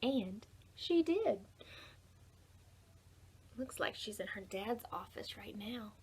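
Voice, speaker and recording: A woman's voice: mid-range voice, expressive, slow, darkish tone, a little hiss or hum. A woman hosting a library storytime, reading and giving simple instructions expressively for preschool children. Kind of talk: preschool read-aloud and instruction.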